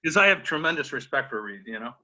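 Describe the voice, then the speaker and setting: A man in his fifties co-hosting a podcast over a video call, telling animated stories and teasing his co-host. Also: medium pitch, fast, muffled recording. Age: 50s.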